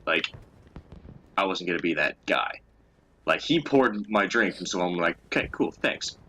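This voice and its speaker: A man's voice: low-pitched, lively intonation, normal speed, bright, forceful tone. A young man telling casual stories in a profane, crudely teasing way, as a co-host chatting with a friend. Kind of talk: casual storytelling chat over a game.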